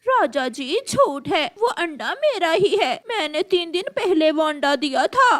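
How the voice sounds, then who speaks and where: A woman's voice: extremely high pitch, sing-song, measured pace, fairly bright tone, dry recording, pitched above the performer's own voice, full character voice. A woman voicing a sly, lying female crow in a children's cartoon, brazen and defensive. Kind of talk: children's cartoon story acting.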